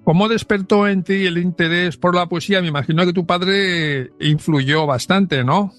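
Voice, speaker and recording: A man with a somewhat high voice puts formal questions to his guest. His manner is polite and measured, with faint music in the background. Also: fairly bright tone. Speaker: interviewer.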